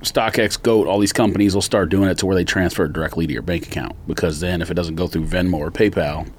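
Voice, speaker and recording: A man chatting casually as a podcast panelist, matter-of-fact and quick to chime in. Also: deep voice, fairly even intonation, slight background noise. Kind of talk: casual chat.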